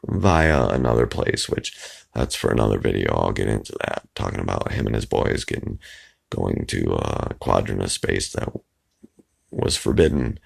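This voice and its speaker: A man with a hoarse, raspy voice, rambling casually into his phone's microphone and trailing off with plenty of fillers. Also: very deep voice, expressive, normal speed. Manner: meandering, trails off with many fillers. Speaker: video blogger. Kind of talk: rambling casual monologue to camera.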